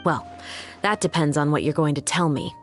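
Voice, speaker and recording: A woman playing a composed, direct young police captain in a video game, speaking in a measured, businesslike way. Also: deep voice, soft background music, lightly acted. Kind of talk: video game character acting.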